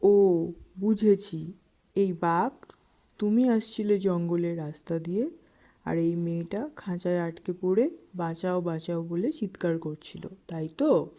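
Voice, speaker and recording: Jackal uncle , played by a woman: wily, falsely puzzled, warm relaxed timbre, animated fable dialogue. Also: on the low side, unhurried, natural voice. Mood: feigned confusion. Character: sly jackal uncle feigning slow-witted confusion.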